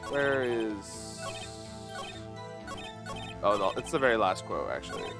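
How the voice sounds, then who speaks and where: A male game streamer, not a native English speaker, talks casually over clearly audible game music. His pitch swings in a lively way as he thinks aloud and pokes fun at himself. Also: slow pace. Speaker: streamer playing a video game. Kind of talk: casual gaming commentary. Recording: music behind the voice.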